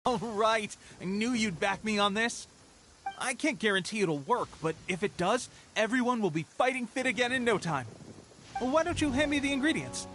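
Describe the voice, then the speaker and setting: A man's voice: high-pitched, expressive, normal speed, sharp tone, slight background noise. A man voicing an upbeat young male resistance soldier in a video game, chatty and encouraging. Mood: upbeat, grateful.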